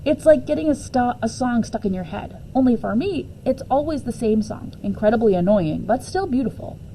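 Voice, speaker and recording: Woman reading a kids book out loud, relaxed mellow voice, some noise behind her. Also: on the high side, normal speed, rough recording. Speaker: teacher reading a book aloud. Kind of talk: expressive children's novel read-aloud in first person.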